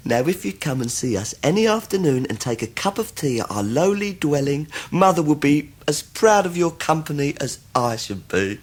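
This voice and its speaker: An actor playing an obsequious, scheming young law clerk in a radio drama, fawning and full of false humility. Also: male voice, fairly high pitch, big pitch swings, normal speed, slightly rough. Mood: gushingly humble. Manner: fawning, self-abasing, oily.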